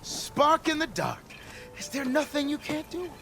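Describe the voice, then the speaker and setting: An actor playing a cheerful, boastful expedition leader in a video game, florid and flattering, breaking into sudden laughs. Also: male voice, extremely high pitch, measured pace, vocal fry, over music, full character voice. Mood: jubilant. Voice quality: theatrical, florid. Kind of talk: video game cutscene acting.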